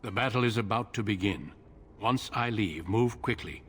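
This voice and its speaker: Man voicing a grave older warrior leader, measured, formal, lowish voice, faint ambient noise. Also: a bit bright, lightly acted. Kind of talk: video game voice acting.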